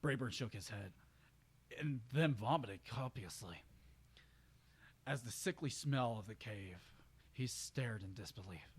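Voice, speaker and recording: A man's voice: fairly low pitch, lively intonation, unhurried, pauses often, breathy edge. Stumbling male amateur story reader. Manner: stumbling, often correcting himself. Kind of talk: amateur dramatic reading of fan fiction.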